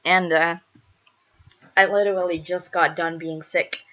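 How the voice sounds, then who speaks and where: A woman's voice: fairly low pitch, steady tone, a little slow, fairly bright tone, muffled recording. A young woman with a congested, stuffed-up voice chatting casually to viewers, rambling and emphatically repeating herself. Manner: repetitive, emphatic, restating phrases. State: congested from a cold. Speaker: vlogger.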